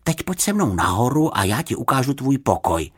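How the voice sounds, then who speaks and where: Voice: medium pitch, sing-song, slightly rough, voice pushed up. A storybook reader voicing a cheerful, chatty young girl who sounds confident and friendly. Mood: eager.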